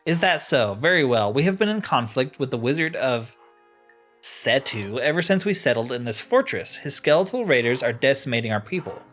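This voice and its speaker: A man's voice: mid-range voice, very animated, moderate pace, fairly bright tone, faint music behind. A man voicing a haughty, commanding female witch leader in a fantasy game, with formal, imperious phrasing. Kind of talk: reading game dialogue aloud.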